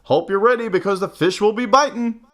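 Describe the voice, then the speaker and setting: A man voicing hearty fishermen in a children's storybook, hollering with excitement. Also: high-pitched, a little fast, voice pushed up. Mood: gleeful. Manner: gleeful, hollering. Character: hearty excited fisherman dad and his buddies.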